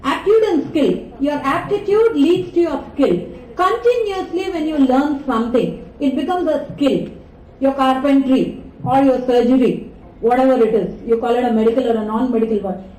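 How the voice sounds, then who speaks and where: A woman's voice: high-pitched, normal speed. A middle-aged woman with an Indian English accent teaching a communication skills class. She keeps asking the students questions as she teaches. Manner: animated, rhetorical questions to students. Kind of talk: classroom lecture. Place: lecture hall. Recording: echoey hall, mic at a distance.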